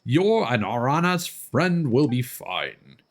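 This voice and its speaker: A man's voice: mid-range voice, very animated, takes it slowly, voice pushed down. A man voicing small, earnest, childlike forest spirits as he reads game dialogue aloud in a singsong storybook way. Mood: earnest, grateful.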